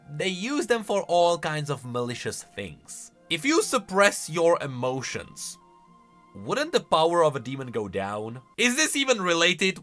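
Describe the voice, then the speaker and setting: A man giving casual, opinionated reaction commentary as a gaming lore commentator, in an animated manner. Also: fairly high pitch.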